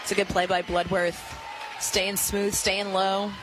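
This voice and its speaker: A woman giving conversational color analysis on a live radio softball broadcast. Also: medium pitch, level tone, a little slow, music in the background, crowd noise. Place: outdoor softball stadium. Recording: noisy outdoor ballpark broadcast. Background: loud ambient ballpark noise.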